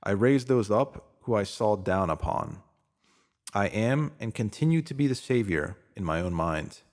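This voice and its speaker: A man's voice: fairly low pitch, expressive, measured pace. A man narrating a scripted self-help piece, reading it out as a motivational message.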